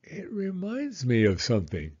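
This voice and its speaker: A man voicing a humble, dreamy teddy bear in a children's storybook, slow, earnest and plaintive. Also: mid-range voice, huge pitch swings, darkish tone, slightly rough, lo-fi sound. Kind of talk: children's storybook character reading.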